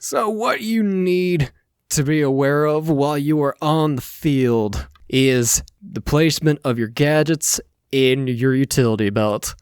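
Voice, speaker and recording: A man voicing a middle-aged superhero guest speaker at a school, formal, halting and exasperated. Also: on the high side, darkish tone, clear, resonant tone, no echo, studio quality. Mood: instructive.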